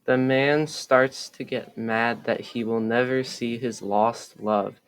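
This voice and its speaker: A young man reads a story summary aloud at a slow pace. His mid-pitched voice is that of a student narrator working from a script. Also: fairly even intonation, smooth, poor audio. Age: teens or young adult. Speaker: student reader.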